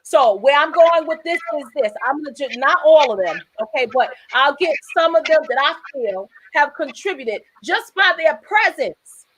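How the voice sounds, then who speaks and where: This is a woman with a high-pitched voice, hosting a talk show in a casual tone. She speaks with emphatic, preachy conviction and rambles through her points, often restarting mid-sentence. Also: animated, normal speed, a bit bright, poor audio.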